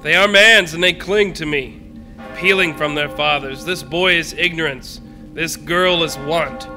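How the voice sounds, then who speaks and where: A man as bright, commanding ghostly spirit. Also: fairly high pitch, measured pace, soft background music. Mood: stern warning. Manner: declamatory, solemn, old-fashioned diction. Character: grave, commanding ghostly spirit guiding a miser. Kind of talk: live stage radio drama acting.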